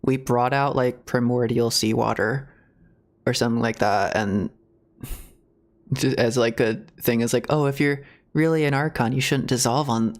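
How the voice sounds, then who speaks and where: A man giving casual live reactions as a game streamer, rambling and searching for words. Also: medium pitch.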